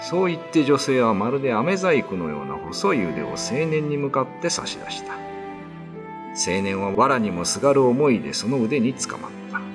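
A man's voice: medium pitch, big pitch swings, moderate pace, music in the background. A man giving scripted, solemn narration of an old ghost story.

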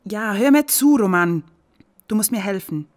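A woman's voice: mid-range voice, lively intonation, moderate pace, darkish tone, natural voice. An actress playing a skeptical young woman who talks plainly and directly in a radio play. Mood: urgent. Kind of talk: radio drama acting.

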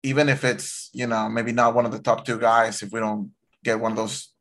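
A man's voice: mid-range voice, level tone, fairly quick, fairly bright tone. A man in his thirties co-hosting a fan podcast over a video call, talking loosely and animatedly. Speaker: podcast co-host. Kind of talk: casual sports chat. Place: home room, video call.

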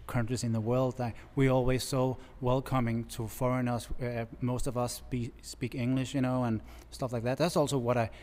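A man in his thirties from Denmark with a Danish accent, chatting as a guest artist in a relaxed, halting way. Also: mid-range voice, big-room sound. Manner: relaxed, halting, laughs easily. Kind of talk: casual interview chat. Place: large bright studio, indoors. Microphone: stand mic with headphones.

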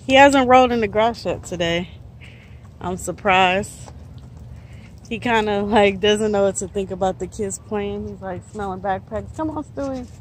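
A woman in her thirties, a truck driver, chatting casually to a phone held at arm's length outdoors, relaxed with lots of fillers. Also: medium pitch, moderate pace. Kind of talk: casual vlog to phone camera. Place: outdoors in a park and a truck yard. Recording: phone audio with outdoor noise.

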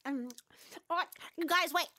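A woman's voice: very high-pitched, measured pace, sharp tone, exaggerated voice. A woman voicing a bratty, boastful little girl with a childish, taunting, giggly manner in an acted monologue. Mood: taunting, excited.

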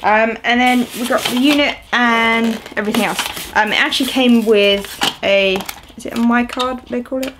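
A woman's voice: a little high, fairly even intonation, moderate pace, hardly any pauses, noisy background. A young woman in her twenties chatting casually while unboxing at home on camera, rambling with lots of ums and uhs. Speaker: video host. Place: small indoor room at home, seated on a sofa.

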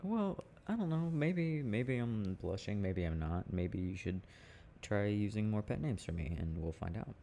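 A young man speaking in a playful, affectionate, halting murmur, like an intimate, casual ASMR boyfriend roleplay, with a deep gravelly voice. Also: expressive, warm tone. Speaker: boyfriend roleplay audio performer. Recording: faint background noise.

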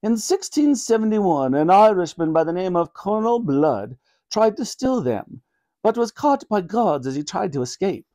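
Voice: mid-range voice, sing-song, moderate pace, dark tone, voice pushed up, natural voice. A reader voicing a stately elderly Queen in clipped, formal tones for a children's storybook. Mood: wryly amused.

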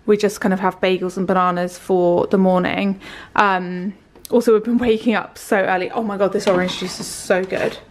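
A woman's voice: mid-range voice, a little hiss or hum. A young woman in her twenties, chatting casually as she films a tour of a rented house with a handheld camera. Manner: chatty, upbeat, rambling with fillers. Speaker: vlogger. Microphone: handheld camera at arm's length.

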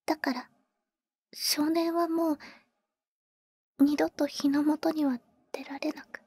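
Woman acting breathy, high-voiced big-sister vampire. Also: flat delivery, a little mellow, no room sound. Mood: apologetic, hesitant. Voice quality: breathy, whispered. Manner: halting, murmured, blunt boyish phrasing. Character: protective, tsundere older-sister female vampire, tenderly devoted. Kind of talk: Japanese ASMR roleplay, intimate whispering. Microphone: very close, dry ASMR microphone.

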